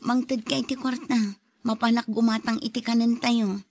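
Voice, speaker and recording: A woman's voice: fairly high pitch, little pitch movement, normal speed, a little mellow. An actress playing a worn-down young wife and mother who pleads, in a Filipino radio drama with a Filipino accent. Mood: weary, pleading.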